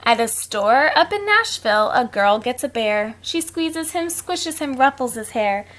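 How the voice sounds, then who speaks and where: A woman's voice: sits high, a little slow. A woman reading picture books aloud to children like a teacher, expressively, with simple casual asides. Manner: expressive, simple explaining to young listeners.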